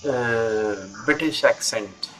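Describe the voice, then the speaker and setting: A man's voice: mid-range voice, slow, a bit bright, soft background music, poor audio. A middle-aged man from North India with a North Indian accent, an English teacher chatting earnestly over a video call and repeating his points. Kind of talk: casual conversation.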